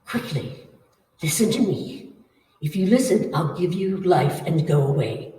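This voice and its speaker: A woman playing a menacing, bloodthirsty old female vampire in old-time radio horror style, with commanding, drawn-out phrasing. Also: deep voice, animated, warm tone. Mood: urgent, conspiratorial. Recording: echoey hall, far from the mic.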